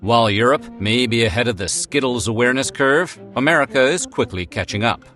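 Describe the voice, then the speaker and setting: A man's voice: medium pitch, expressive, a little fast, bright, forceful tone. A man giving playful scripted narration with wry, jokey asides. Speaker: narrator of a food history video. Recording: music bed behind the voice. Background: background music.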